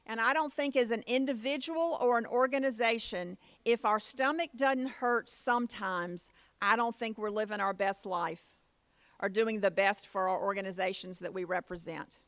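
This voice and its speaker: A woman's voice: medium pitch, normal speed, a bit bright, dry recording. A woman giving a motivational conference keynote with personal stories, in a plain-spoken, folksy way. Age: 50s. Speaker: keynote speaker.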